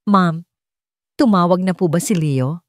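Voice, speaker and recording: A woman's voice: fairly low pitch, a little slow, dry recording, clean studio sound, natural voice. A Filipino-accented woman voicing an anxious old female servant in an audio story, respectful and hesitant. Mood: meek, deferential. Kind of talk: audio story character dialogue.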